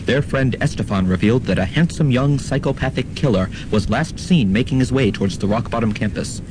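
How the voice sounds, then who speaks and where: A man reading scripted recap narration as the announcer of a student radio drama. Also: fairly quick. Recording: noisy rumbling amateur student radio recording.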